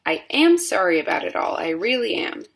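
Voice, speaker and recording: A woman's voice: lowish voice, big pitch swings, moderate pace, creaky. A woman voicing an eccentric male candy inventor who speaks in a rueful, matter-of-fact way.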